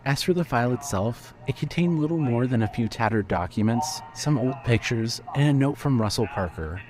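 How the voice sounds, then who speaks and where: A man narrating scripted fiction as a storyteller, in a measured manner. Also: medium pitch, expressive.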